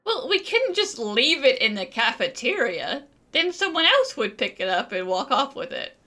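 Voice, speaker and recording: High, bright female gamer with animated delivery. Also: normal speed. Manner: sarcastic, joking. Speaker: gaming channel host. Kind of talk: playful gameplay commentary, improvised character dialogue.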